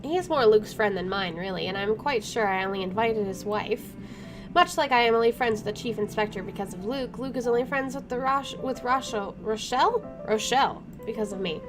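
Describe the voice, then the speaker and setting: A woman streamer with a slightly high voice narrates a game. She reads the text aloud and cuts into it with informal, wry remarks. Music plays clearly behind her. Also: a little fast. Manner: wry, informal, self-interrupting. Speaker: gaming streamer. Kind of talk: let's play, reading game text aloud with casual asides. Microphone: microphone placed to one side.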